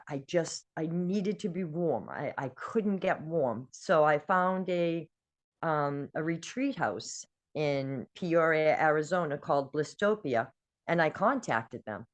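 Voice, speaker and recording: An older woman from New England shares a personal story in a low, unhurried voice. She talks earnestly and with feeling, filling her pauses with ums. Her delivery is slow and heartfelt. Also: lively intonation. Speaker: guest. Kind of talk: personal testimony.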